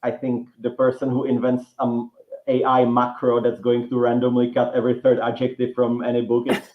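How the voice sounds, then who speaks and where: A man's voice: medium pitch, completely flat delivery, brisk. A man with a Czech accent, a writing teacher and guest author, talking in a rambling way with many restarts and fillers. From Czech Republic. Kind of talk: relaxed interview conversation. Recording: roomy, dull lo-fi sound.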